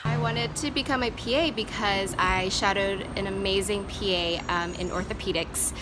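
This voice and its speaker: A woman answering interview questions in a soft-spoken, matter-of-fact way. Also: mid-range voice, fairly even intonation, normal speed. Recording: music bed underneath.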